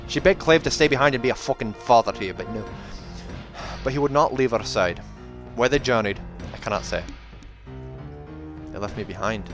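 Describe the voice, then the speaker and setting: A man voicing a gruff, protective old dwarf with rolled Rs, in the manner of a fantasy audiobook. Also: medium pitch, normal speed, long pauses, close to an everyday voice. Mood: bitter. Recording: music behind the voice.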